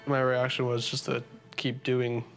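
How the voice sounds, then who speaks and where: A man's voice: medium pitch, monotone, measured pace. A young man casually recounting his own survival story in an interview, plain-spoken and matter-of-fact. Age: young adult. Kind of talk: casual first-person interview. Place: dark interview studio. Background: loud music.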